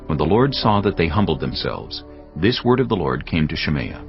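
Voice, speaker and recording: Male narrator, very low voice, lively intonation, solemn scripted Bible storytelling, dull audio, faint music. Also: moderate pace.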